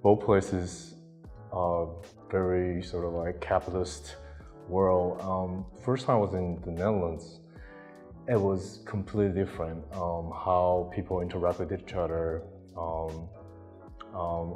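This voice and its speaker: Deep-voiced Taiwanese-born man, hesitant. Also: fairly even intonation, measured pace, darkish tone, in a large hall, soft background music. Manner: hesitant, many ums and restarts. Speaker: interviewee artist. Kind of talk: casual interview answers. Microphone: lapel mic.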